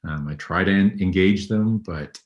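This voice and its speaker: A man in his thirties, a veterinary radiologist being interviewed, talking in a low, even, unhurried way with frequent ums. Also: dull audio. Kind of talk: conversational interview.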